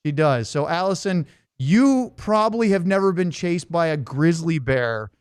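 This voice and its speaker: A man's voice: fairly high pitch, animated, measured pace, clean tone, dry recording, clean studio sound. A man hosting a casual sports podcast, chatty and jokey in his delivery. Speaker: podcast host.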